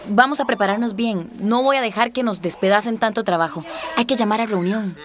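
A woman's voice: medium pitch, brisk, crowd noise, close to an everyday voice. A woman playing a caring, determined health-centre worker in a radio drama, reassuring yet combative.

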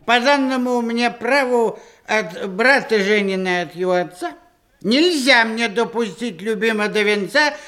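An actor playing a self-important would-be scholar in a radio verse comedy, pedantic, officious and declaiming. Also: male voice, high voice, normal speed, bright, forceful tone. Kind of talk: theatrical period verse comedy, radio play.

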